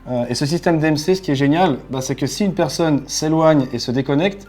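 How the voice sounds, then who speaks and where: A man's voice: a little high, brisk, darkish tone. A man co-hosting a motorcycle channel, giving a casual and enthusiastic product review. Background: background music.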